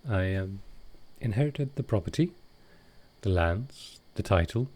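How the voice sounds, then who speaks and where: A man playing a gentle, grieving vampire lord in an intimate audio roleplay, speaking tenderly and with some hesitation. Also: fairly low pitch, expressive, unhurried. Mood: sorrowful, reflective. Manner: soft-hearted, hesitant, tender.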